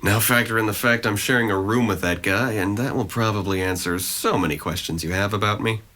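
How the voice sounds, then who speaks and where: A man's voice: on the low side, a little fast, pushed and bright. A man acting a guarded, gloomy young man in an audio drama, with a dry, sarcastic, wordy delivery. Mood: sardonic. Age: young adult.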